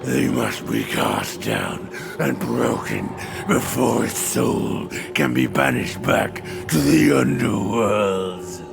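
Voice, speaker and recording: An actor voicing a grim, commanding dark-fantasy guide in a game, with a gravelly, portentous delivery. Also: male voice, medium pitch, sing-song, takes it slowly, few pauses, loud music behind the voice, exaggerated voice.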